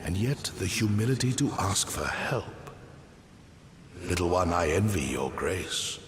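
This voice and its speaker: An actor playing an ancient, immortal tree spirit in a fantasy game, solemn, stately and oracular. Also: male voice, sing-song, unhurried. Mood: solemn, gracious. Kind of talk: fantasy video game dialogue. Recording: long echoing reverb, faint rumble.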